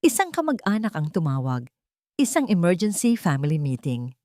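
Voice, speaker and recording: A woman reading a scripted dramatic story as its narrator, in a measured, solemn storytelling manner. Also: fairly low pitch, sing-song, a little mellow, no echo, clean studio sound.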